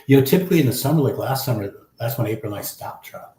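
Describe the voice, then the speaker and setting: A man in his fifties hosting a relaxed live chat, reading viewer comments in an easygoing, chatty way. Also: mid-range voice, rapid-fire, a little hiss or hum. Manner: easygoing, chatty, many fillers. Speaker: livestream host. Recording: echoey room.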